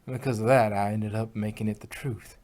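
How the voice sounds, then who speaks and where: A man's voice: fairly low pitch, fairly even intonation, brisk, darkish tone, lightly acted. A man voicing an honest, plain-spoken female farm pony with folksy country phrasing in a fan fiction reading. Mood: grave, confessional.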